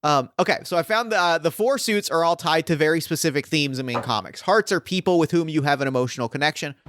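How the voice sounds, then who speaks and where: Male game master and host, enthusiastic, crisp delivery, close dry sound. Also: on the high side, fast, a bit bright, no room sound. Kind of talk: guiding a tabletop roleplaying game.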